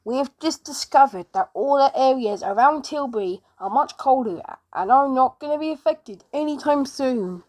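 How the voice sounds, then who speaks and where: Higher-voiced female amateur announcer, halting. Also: animated, a little mellow, low-quality recording. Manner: halting, often restarts sentences. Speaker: narrator of an emergency alert scenario video. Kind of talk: homemade mock emergency broadcast narration.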